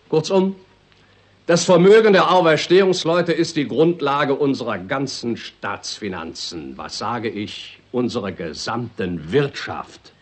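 A ruthless male finance minister, played by an actor in 1960s radio drama style. He speaks at a medium pitch with biting sarcasm. His delivery is emphatic and oratorical, blunt and indignant. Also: close to an everyday voice.

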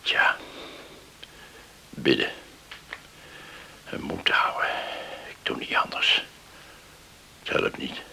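An actor in a Dutch radio play playing a fatalistic, despairing farmer, brooding and breathy, with a gravelly voice. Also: male voice, slow, long pauses, pushed and bright, in a whisper. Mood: bitter despair. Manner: heavy, brooding. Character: gloomy, fatalistic farmer despairing over his sick wife.

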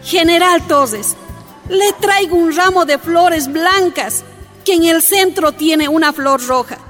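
Bold, high-voiced miners' woman activist. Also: slow pace, a bit bright, exaggerated voice. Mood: earnest, insistent. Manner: declamatory, insistent. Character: bold, earnest woman activist from a mining camp. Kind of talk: radio drama acting. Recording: reverberant hall, music and crowd behind.

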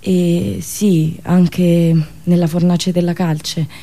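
A woman storyteller with a fairly low voice and a rural central Italian accent. She performs a theatrical monologue expressively, leaning on pauses and repeated words. Her phrasing drifts into country dialect. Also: gravelly. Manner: expressive, dramatic pauses, repetitions and onomatopoeic asides; slips into rustic dialect. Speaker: storyteller and radio show host.

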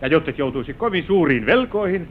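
An actor playing a grave, caring father in his fifties, master of a manor, measured and admonishing in old radio-drama style. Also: a little high, very animated. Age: 50s. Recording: noisy, tinny old radio drama recording.

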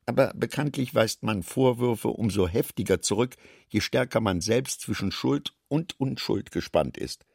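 A man reading scripted literary narration for a radio play in a measured, expressive way. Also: on the low side, gravelly, breathy edge, no room sound, clean studio sound.

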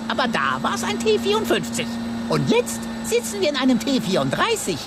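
Wildly lilting, teasing computer sidekick. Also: female voice, sits high, huge pitch swings, normal speed. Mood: smug, teasing. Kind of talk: German radio drama acting. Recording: loud engine noise, hum.

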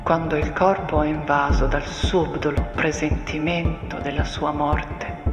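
A woman performing a solemn, deliberate dramatic monologue of literary text, often sinking into breathy whispers. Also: deep voice, fairly even intonation. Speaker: stage actress performing a monologue. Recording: echoey, long reverb, music underneath. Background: music and soundscape.

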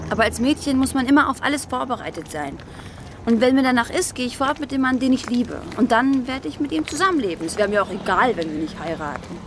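A woman's voice: on the high side, animated, fast, background noise, natural voice. An actress playing an earnest, outspoken schoolgirl from a well-off family in a German radio drama, speaking formally and emphatically. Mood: earnest, confiding. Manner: formal address, probing, emphatic.

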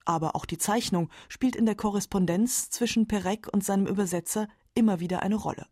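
A woman narrates a scripted radio documentary. Her voice is mellow and relaxed, recorded very dry. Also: medium pitch, brisk, no echo.

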